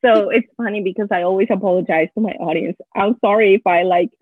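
A woman from Latin America whose first language is Spanish, speaking English with a Spanish accent as a DIY content creator in a casual interview, quick and animated with many fillers. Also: medium pitch, breathy edge, no room sound. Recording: dry tinny phone-like line.

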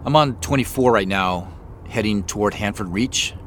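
An actor playing a soft-spoken, remorseful younger brother in an audio drama, hesitant and self-deprecating in a natural way. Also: male voice, lowish voice, normal speed, natural voice. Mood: casual, low-key. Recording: faint road noise behind voice. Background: car driving ambience.

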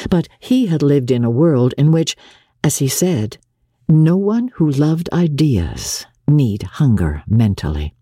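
A woman's voice: extremely low pitch, warm tone, breathy edge, no room sound, clean studio sound. A woman narrating classic literature as a dramatic reading. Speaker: narrator and radio presenter.

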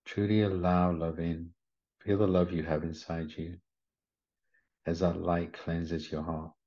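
A man leading a guided meditation in a gentle, soothing manner, with short unhurried instructions. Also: sits low, little pitch movement. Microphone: video call. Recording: slightly muffled video call audio.

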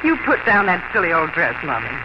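A woman's voice: fairly low pitch, very animated, quick pace. An actress playing an impulsive, emphatic young woman visiting her parents, in a 1950 radio drama. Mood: teasing. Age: young adult. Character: lively, bossy young woman, a city artist. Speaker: grown daughter. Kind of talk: 1950s radio drama acting. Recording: hissy lo-fi 1950 radio broadcast.

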